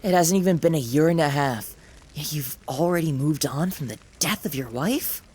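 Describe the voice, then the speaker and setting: A sadistic samurai villain voiced by a woman sneers through a visual novel in theatrical fashion. The voice sits fairly low for a female one and swoops widely, dripping with mockery. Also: expressive, moderate pace, darkish tone, breathy edge, heavily acted. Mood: cruel taunting. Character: taunting, sadistic male samurai villain, mocking former comrade.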